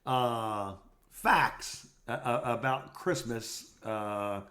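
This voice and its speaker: A man co-hosting a podcast in casual conversation, telling things in an animated way and often repeating himself. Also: medium pitch, extremely slow. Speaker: podcast co-host.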